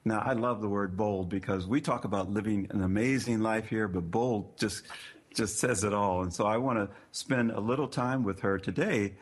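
A man hosting a podcast interview, earnest and warm in his words, often repeating himself and using fillers. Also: fairly low pitch, normal speed. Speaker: podcast host. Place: California.

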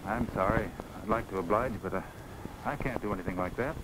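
A man's voice: lowish voice, brisk, lightly acted. An American actor playing a stubborn, loyal infantryman with a colloquial manner in a 1940s film. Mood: reluctant. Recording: noisy 1940s film soundtrack.

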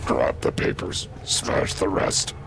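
A man playing a menacing thug ringleader who barks orders in a gravelly voice, in a video game. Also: slow, natural voice. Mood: cold, commanding. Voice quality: very deep, gravelly.

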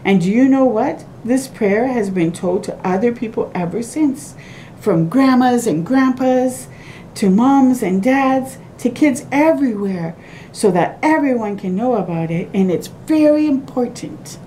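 A woman's voice: lively intonation, unhurried, warm tone. A middle-aged woman reading a children's picture book aloud with asides, in simple sing-song phrasing addressed to little ones. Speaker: children's storytime reader. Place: small room at home, by a window. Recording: clear background noise with low rumble.

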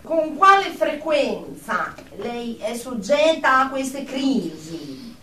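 A woman's voice: a little high, big pitch swings, slow, bright, forceful tone, heavily acted. An actress playing a cold, formal psychiatrist in a radio drama, clinical and peremptory as she questions and assesses a patient. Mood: formal, probing. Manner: clinical, peremptory, muttering diagnoses to herself. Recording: distant, roomy, faint noise.

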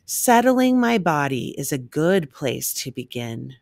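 Slow, lively woman reading a children's book. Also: sits low, vocal fry, no room sound, studio quality. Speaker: reader of a children's picture book. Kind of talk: read-aloud storytelling of rhyming text.

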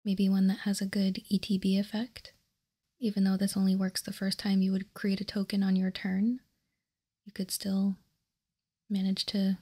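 A woman narrates in a soft, breathy ASMR whisper. Her delivery is very even and unhurried, with a mellow, relaxed tone. She sounds like a host calmly presenting items on a tabletop. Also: medium pitch. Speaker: ASMR card game video host. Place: indoors, tabletop card showcase.

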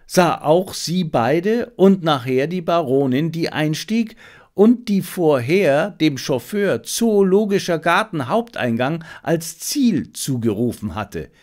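A male narrator plays an eager young messenger boy in a detective audiobook. The boy reports earnestly and excitably, with pitch that jumps upward and swings about. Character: eager, disobedient messenger boy playing junior sleuth.

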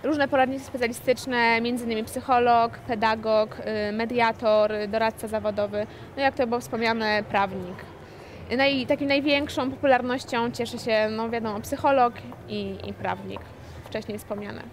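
A young social worker with a somewhat high, very flat voice, answering matter-of-factly. Her words ride over clear shopping-mall noise. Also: normal speed. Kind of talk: interview answers. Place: shopping mall seating area, indoors.

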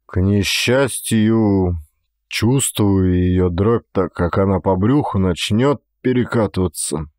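A man's voice: sits low, slow pace, a little mellow, no room sound, clean studio sound, voice pushed down. A man voicing a stolid, sly peasant Cossack daredevil who plays innocent, with serious rustic folk speech, in a dramatised audiobook. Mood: deadpan. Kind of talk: dramatised audiobook character acting.

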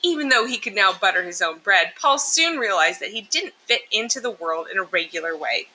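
A woman's voice: medium pitch, fairly quick, poor audio. A woman reading a children's picture book aloud expressively, explaining and emphasizing things for young listeners. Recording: clear steady background noise.

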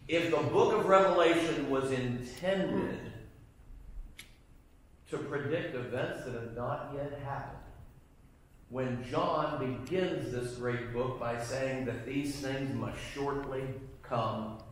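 A man's voice: mid-range voice, slow pace, a little hiss or hum. A middle-aged man teaching a Bible class in a church sanctuary, emphatic and often putting questions to the class. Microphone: far camera at the back of the room. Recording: echoey room from far off.

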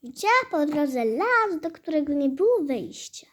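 A woman storyteller narrating a fairy tale in a halting, hesitant way, with stumbles and repeated phrases. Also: sits high, very animated. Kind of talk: fairy-tale storytelling for a hobby audio play.

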